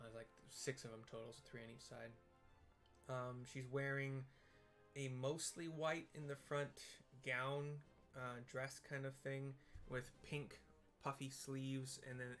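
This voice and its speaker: A man giving improvised audio description of a game scene, halting and searching for plain comparisons as he goes. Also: mid-range voice, completely flat delivery, a bit bright. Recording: game music behind the voice. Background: game music.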